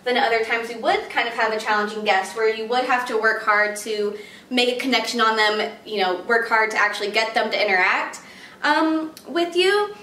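A woman's voice: a little high, normal speed, sharp tone. A young woman answering interview questions on the phone in her kitchen, upbeat and chatty, with many fillers and little laughs. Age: young adult. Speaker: job applicant in a phone interview. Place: home kitchen, indoors. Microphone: far camera on a tripod while she talks on a phone. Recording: roomy kitchen sound from a distant camera.

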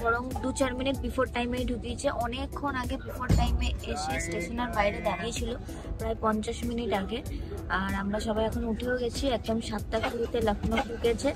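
A woman's voice: fairly high pitch, fairly even intonation, fairly quick, loud music behind the voice. A woman in her thirties, a travel vlogger, giving a chatty running commentary on her trip into a phone held at arm's length. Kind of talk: casual travel vlog narration. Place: train compartment and busy station outdoors.

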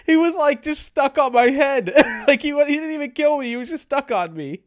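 A man's voice: extremely high pitch, expressive, normal speed. A man giving casual, bemused commentary while playing a game. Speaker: gamer.

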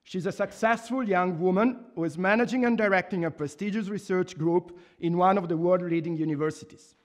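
A man in his thirties from Italy with an Italian accent, earnestly reading a formal laudation from a lectern in a university hall. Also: sits high. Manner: earnest, measured, with restarts and emphatic pauses. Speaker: professor giving a laudation speech. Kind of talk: formal speech read from notes. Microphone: microphone on a stand at a lectern.

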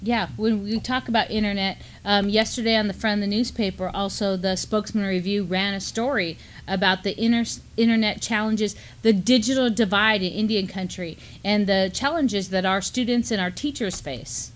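A middle-aged woman offers news commentary as a co-host. Her pitch is average for a woman. She explains points in a measured, instructive way. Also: steady tone. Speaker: news show co-host, professor of urban planning. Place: indoor studio at a desk.

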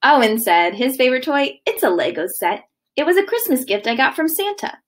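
Female teacher, thirties, highish pitch, very animated sing-song read-aloud for young kids, recorded at home. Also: brisk, a little hiss or hum. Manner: expressive, sing-song storytelling delivery. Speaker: kindergarten teacher. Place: living room at home, indoors.

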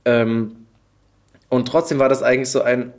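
Podcast host: man with an average-pitched voice, informal, conversational, thinking out loud. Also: steady tone, moderate pace. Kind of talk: casual podcast chat.

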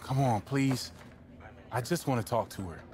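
An actor playing an earnest young college basketball player in a video game cutscene. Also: male voice, mid-range voice, moderate pace, a little mellow, a little hiss or hum, lightly acted. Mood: urgent, pleading. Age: young adult.

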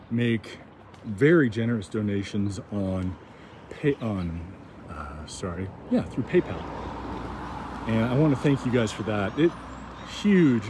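Man, lowish voice, talks while walking small-town streets; loose, halting narration with audible street and wind noise. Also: mellow. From United States. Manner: rambling, halting with many ums and restarts. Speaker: walking tour vlogger. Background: street ambience, passing vehicles.

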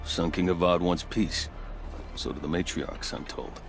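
A man's voice: sits low, a little slow, creaky. A man playing a dutiful, diplomatic guard captain in a video game, courteous and formal in his speech. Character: composed male gate captain, diplomatic and dutiful. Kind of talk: dramatic video game acting. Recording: music behind the voice.

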